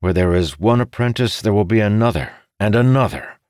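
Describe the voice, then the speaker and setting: A man's voice: fairly low pitch, lively intonation, brisk, darkish tone, clear, resonant tone, no echo, clean studio sound, voice pushed up, natural voice. A man voicing a spoiled, hot-tempered younger brother in an audiobook, terse and sarcastic. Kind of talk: audiobook character voice.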